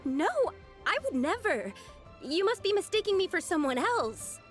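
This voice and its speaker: A woman's voice: very high-pitched, big pitch swings, moderate pace, soft background music. A woman playing a bewildered, frightened young woman in a video game, faltering and pleading. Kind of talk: dramatic video game voice acting.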